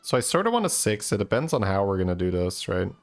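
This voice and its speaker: A man, a Dutch speaker, giving casual gameplay commentary as a streamer, thinking aloud in a low-key, musing way. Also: very animated, quick pace, faint music behind. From Belgium.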